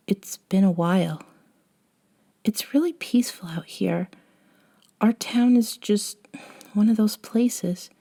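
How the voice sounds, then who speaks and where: Woman acting a mellow, unhurried young female friend. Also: medium pitch. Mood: relaxed, wistful. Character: young woman, patient bossy friend coaching a reborn vampire. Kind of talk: scripted roleplay audio, one-sided conversation.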